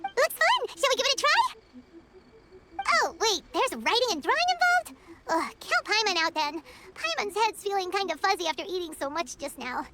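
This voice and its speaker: A woman voicing a tiny, excitable, food-loving fairy companion in a video game, childlike and squeaky, with bubbly delivery. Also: moderate pace, background noise. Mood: sluggish, grumbling. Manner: bubbly, refers to self in third person.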